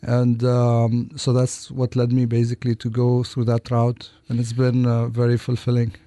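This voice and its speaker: Male doctor from Lebanon, studio interview guest, hesitant phrasing with false starts, mid-range pitch, dry close sound. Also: monotone, moderate pace, dark tone, dry recording. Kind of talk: interview answers. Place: radio studio.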